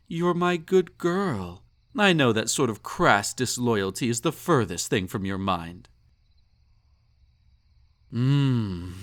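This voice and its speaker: A man acts out a haughty emperor in an intimate audio roleplay. He speaks at a leisurely, drawn-out pace in a mid-pitched male voice, savoring ornate phrases. His manner is condescending and possessive, teasing the listener like an indulged pet. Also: a bit bright. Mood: smug, possessive. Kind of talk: intimate roleplay audio drama, second-person monologue.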